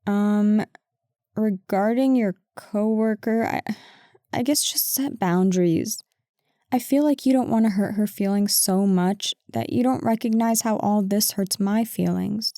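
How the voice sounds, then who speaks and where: A woman acts out a bickering but affectionate girlfriend in an intimate audio drama. Her voice is mid-pitched and mellow, with slow, conversational delivery and a sarcastic, defensive edge. The recording is very dry and close. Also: no echo. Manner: conversational, exasperated, sarcastic, addressing an unheard partner. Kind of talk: intimate audio roleplay acting.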